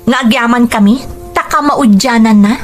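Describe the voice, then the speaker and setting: A woman's voice: on the high side, normal speed, soft background music, natural voice. A woman playing a hurt, sarcastic young adult daughter in a radio drama, challenging, with an Ilocano accent. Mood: bitter. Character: hurt, sarcastic grown daughter of abandoned family.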